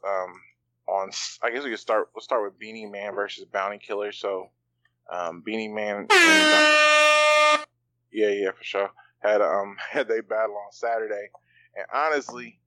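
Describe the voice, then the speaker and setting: A man co-hosting a casual podcast, rambling along with fillers and slang. His voice sits a bit low. The recording is muffled and lo-fi. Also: pushed and bright. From part Bahamian. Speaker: podcast co-host. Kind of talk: casual chat.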